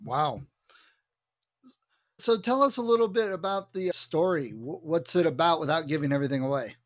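A man's voice: fairly high pitch, expressive, normal speed. A man, hosting a podcast interview in a casual, conversational style. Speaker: podcast host.

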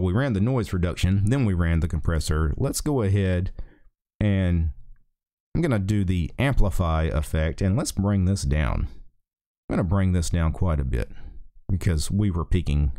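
Deep-voiced man walking through software. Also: no room sound, studio quality. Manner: relaxed, thinking aloud with small restarts. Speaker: tech tutorial host. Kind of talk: casual screen-recorded software tutorial. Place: small home office. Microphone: desk USB microphone.

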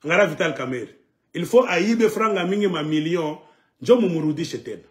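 A man's voice: on the high side, normal speed. Emphatic middle-aged man. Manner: emphatic, animated, sweeping arm gestures. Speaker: political commentator. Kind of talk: impassioned political monologue. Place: living room at home, indoors.

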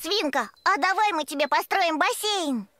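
A woman's voice: extremely high pitch, lively intonation, normal speed, fairly bright tone. A woman voicing a friendly, helpful young truck in an educational cartoon, eagerly addressing small children.

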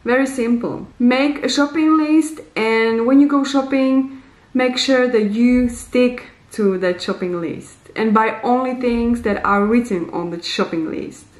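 A woman in her thirties hosting a casual how-to vlog, with a fairly high voice and an unhurried pace. She gives simple, deliberate advice in slightly non-native English. The sound is roomy, from a small bare room indoors. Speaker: vlogger, host. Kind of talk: casual instructional vlog to camera.

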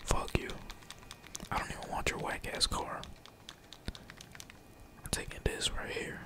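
A young man keeps up a casual, whispered commentary as he plays a game. His voice is all breath with hardly any tone behind it. He rambles in heavy slang and swears freely. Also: unhurried. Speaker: gaming streamer.

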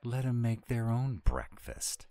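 An actor playing a grumpy, anxious male were-dragon in an audio roleplay, gruff and exasperated in his drawl. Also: mid-range voice, very animated, slow pace, dark tone, dry recording. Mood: panicked grumbling.

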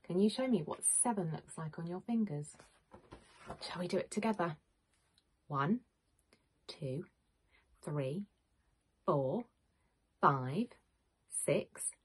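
A woman, a teacher reading a picture book to young children in an expressive, questioning manner. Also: on the low side. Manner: expressive, questioning, clearly addressing small children. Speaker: primary school teacher. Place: small home room with bookshelves, indoors.

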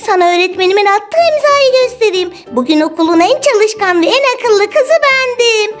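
A woman voicing an excitable, cheeky little girl in a toy play video, with a high, childlike, squeaky voice. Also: normal speed, faint music behind. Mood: proud.

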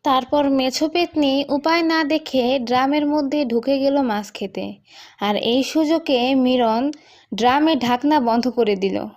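A woman's voice: sits high, measured pace, a little mellow. A woman narrating a scripted cartoon folk tale as the storyteller.

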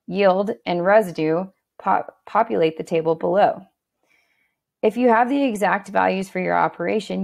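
A woman with a heavily gravelly, fry-laden voice walks through a software tool step by step. Her delivery is steady and instructional, at a middle pitch. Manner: steady instructional tone with occasional fillers and restarts. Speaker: software tutorial narrator. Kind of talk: screen-recorded software walkthrough.

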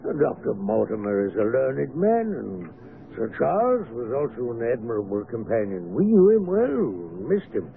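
An actor playing an eager, affable but shifty English naturalist in a radio drama. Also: male voice, medium pitch, big pitch swings, measured pace, gravelly. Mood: polite, wistful. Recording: phone-like, narrow-band old radio broadcast.

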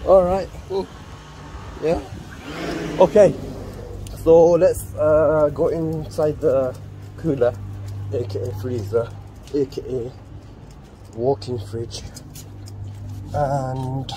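A man's voice: medium pitch, fairly even intonation, takes it slowly, pauses often, warm tone. A young man in his twenties, a warehouse supervisor, talking to his phone as he works, rambling and muttering to himself. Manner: rambling, self-talking, swears under breath. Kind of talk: casual work vlog. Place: warehouse and outdoor yard. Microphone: handheld phone at arm's length. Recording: noisy phone audio with traffic.

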